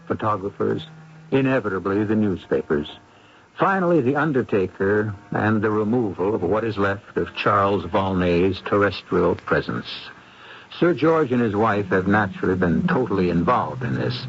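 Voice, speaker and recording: Male narrator, low and portentous, measured formal storytelling for an old radio mystery, dull recording. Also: gravelly. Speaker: host and narrator.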